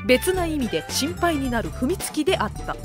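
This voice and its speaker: A woman's voice: high voice, very animated, brisk, over music. A woman narrating a comic anime audio drama as a wry, scripted storyteller.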